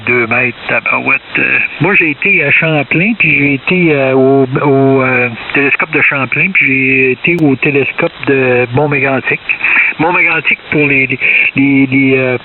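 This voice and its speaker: A man with a Québécois French accent chatting casually over amateur radio, hesitating and repeating words. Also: mid-range voice. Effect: two-way radio transmission. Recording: distant, tinny amateur radio with hiss.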